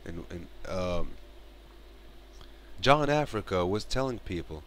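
Moroccan guy in his 30s on a headset in his bedroom, lowish voice, keeps restarting his sentences. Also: expressive, slow pace, fairly bright tone, slight background noise. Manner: earnest, repeats and restarts phrases, gestures while talking. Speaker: vlogger. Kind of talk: casual spoken commentary to camera. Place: bedroom, small indoor room. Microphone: headset mic.

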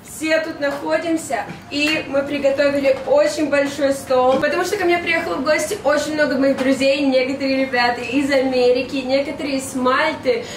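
A young woman hosting a casual group mukbang vlog, slightly hoarse from a cold and chatty. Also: high-pitched, fairly even intonation, moderate pace, hardly any pauses. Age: young adult. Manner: chatty, laughs often. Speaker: channel host. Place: large dining room indoors with friends. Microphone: camera at a distance. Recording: echoey room, mic at a distance, background noise.

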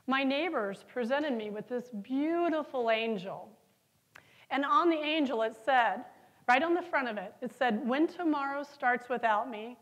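A woman's voice: high-pitched, muffled recording. A middle-aged woman giving a conference keynote on stage, telling stories and asking the audience questions. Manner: lively storytelling, asks the audience questions. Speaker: keynote speaker. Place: large conference hall, on stage.

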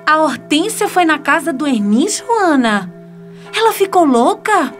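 A woman's voice: high-pitched, very animated, a little slow, soft background music. A woman playing an anxious, concerned churchgoer in a radio soap opera. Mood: worried.

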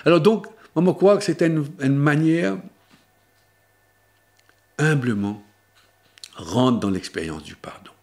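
An older man preaching a Lenten sermon in with a lilt. He talks to camera at a table microphone in a small studio, slowing down to stress key words and moving often between higher and lower pitches. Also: animated, a little mellow. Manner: shifts between spoken pitches, slowing for emphasis. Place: small studio before a blue backdrop, seated at a table. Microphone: table microphone on a stand.

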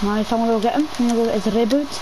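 A teenage gamer chatting excitedly and pleadingly while playing an online game. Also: mid-range voice, racing, over a noisy crowd. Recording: noisy game voice chat.

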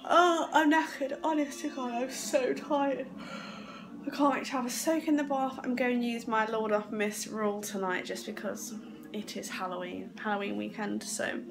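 Congested woman vlogging at home. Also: a little high. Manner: chatty, rambling, lots of ums. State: congested with a sore throat. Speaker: vlogger, mother. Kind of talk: casual home vlog to camera. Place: small house, sofa, kitchen and garden. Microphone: phone camera at arm's length. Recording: noisy home phone recording.

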